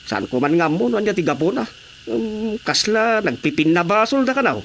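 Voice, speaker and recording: A man's voice: sits high, animated, moderate pace, creaky, very noisy. A man with an Ilocano accent acting a village watchman who makes reports in a radio drama comedy. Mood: alarmed. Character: male village watchman excitedly reporting to his superiors.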